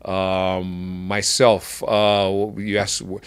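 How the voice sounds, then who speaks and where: A middle-aged man from Oahu with a Hawaiian accent, talking as a podcast guest in a halting way with many restarts. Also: low voice, level tone, clear, resonant tone. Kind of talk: casual conversational interview.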